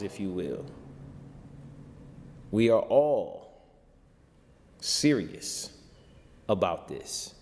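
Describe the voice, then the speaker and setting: A man's voice: medium pitch, darkish tone. A man giving an impassioned, sermon-like spiritual talk in informal vernacular, with rhetorical repetition. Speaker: show host and spiritual teacher. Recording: long hall-like reverb.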